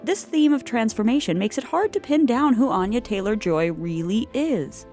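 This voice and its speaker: Lively woman narrating a video essay. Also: on the high side, normal speed, soft background music. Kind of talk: scripted narration.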